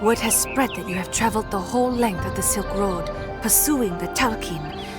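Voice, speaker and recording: A woman's voice: mid-range voice, moderate pace, over loud music, close to an everyday voice. An actress playing a solemn female warrior-scholar of an ancient order in a video game, speaking with earnest, formal drama. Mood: grave, earnest.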